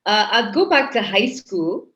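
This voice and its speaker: A woman's voice: medium pitch, big pitch swings, measured pace, bright, forceful tone. A middle-aged Trinidadian woman, a guest in an interview, speaking reflectively with frequent restarts. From Trinidad and Tobago. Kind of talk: interview conversation. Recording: slightly muffled audio.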